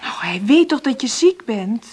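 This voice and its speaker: A woman with a Netherlands Dutch accent playing an anxious, lonely single mother in a radio drama, halting and breathy. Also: a little high, big pitch swings, background noise. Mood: weary, tender.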